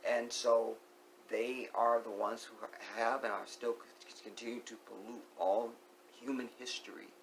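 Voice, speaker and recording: Gravelly-voiced man giving emphatic commentary. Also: mid-range voice, flat delivery, a little slow, a little hiss or hum, low-quality recording. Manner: emphatic, repeats key phrases, sinks to a hushed whisper; rhetorical questions to the viewer. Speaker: vlogger. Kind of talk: opinionated monologue commentary.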